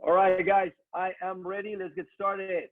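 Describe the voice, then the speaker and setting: A middle-aged man with a Mexican accent speaks in a fairly high voice as an upbeat motivational trainer. He talks straight to his online audience. The sound is thin and narrow, like a phone line. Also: little pitch movement, moderate pace. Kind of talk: motivational business presentation.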